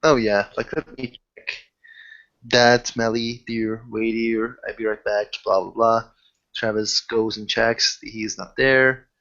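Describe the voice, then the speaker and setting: A man who haltingly searches for words as he improvises in a casual tabletop roleplaying session. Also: fairly low pitch, little pitch movement, fairly bright tone, lo-fi sound. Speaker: game master or player in a tabletop roleplaying session.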